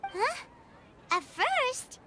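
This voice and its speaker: A little floating fairy sidekick for a video game, with a piercingly high, childlike voice. The delivery is chirpy, animated and inquisitive. Clear noise sits behind it. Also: female voice, extremely slow, exaggerated voice. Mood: surprised. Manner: chirpy, expressive. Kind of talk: English video game voice acting.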